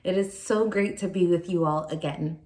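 Young woman youth pastor, earnest sermon delivery, slightly low-pitched. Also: moderate pace, a little mellow. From Nigeria, raised in California.

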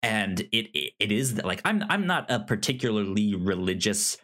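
Halting man discussing film. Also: fairly low pitch, very animated, a bit bright. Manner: halting, stammering, repeats words as thoughts form. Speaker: podcast co-host. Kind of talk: casual reflective film discussion.

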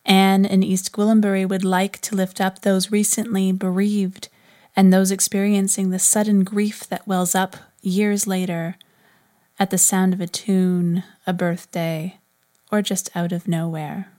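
A woman's voice: mid-range voice, level tone, measured pace, warm tone. A woman, reading as a podcast host in a scripted style. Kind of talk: scripted narration, reading prayer requests.

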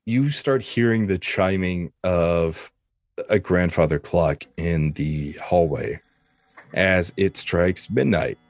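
Man, game master, low pitch, dry sound, improvised tabletop narration. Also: slow pace, no echo.

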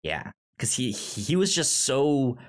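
A man co-hosting a podcast in casual review chat, speaking haltingly and often stammering over syllables and words. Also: mid-range voice, very animated. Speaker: podcast co-host.

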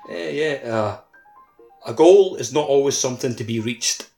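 A man's voice: mid-range voice, animated, moderate pace, creaky, warm tone, faint music behind. A man speaking as a co-host on a casual gaming podcast.

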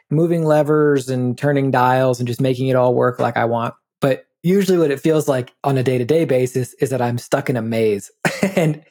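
A man's voice: mid-range voice, darkish tone. A man hosting a podcast interview, talking candidly and loosely with lots of "like". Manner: candid, rambling, peppered with "like". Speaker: podcast host. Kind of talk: casual interview chat.

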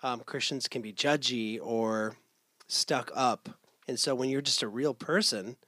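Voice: medium pitch, a little slow, no echo, clean studio sound. A podcast co-host talking casually in a playful way and thanking the guest. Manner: animated, playful, fond of mimicking voices. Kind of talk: casual conversation.

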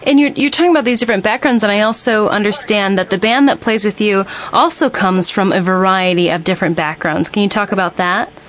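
A woman's voice: mid-range voice, a little fast, hardly any pauses, a bit bright. A woman hosting a radio interview, asking questions hesitantly with fillers. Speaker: radio host.

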